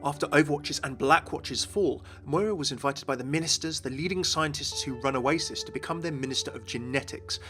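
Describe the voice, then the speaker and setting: A man's voice: fairly high pitch, a little fast, few pauses, a bit bright. A man presenting game lore as an enthusiastic scripted video narration. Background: music.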